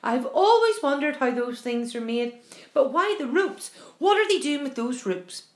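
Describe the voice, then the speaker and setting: A woman's voice: high-pitched, big pitch swings, normal speed, echoey room. A woman voicing a know-it-all male centipede in a storybook, speaking curtly and emphatically. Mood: curious.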